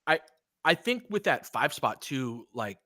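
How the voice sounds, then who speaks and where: Animated, bright-voiced man commenting on basketball. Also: medium pitch, measured pace, dry recording, studio quality. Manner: informal, conversational, frequently seeking agreement. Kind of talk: casual fan commentary and analysis.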